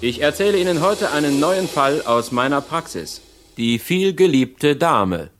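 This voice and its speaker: A man's voice: a little high, very animated, a little slow, a bit bright. An actor playing a genial private detective who presents his cases in a 1950s radio serial with showy, inviting delivery. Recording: old radio recording over music. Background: music.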